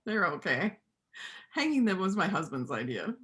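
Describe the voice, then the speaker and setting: Woman playing a terse, lively-pitched country veterinarian. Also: moderate pace. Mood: matter-of-fact. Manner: terse, matter-of-fact. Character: stern, practical rural veterinarian, a minister's wife. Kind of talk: readers' theater play acting.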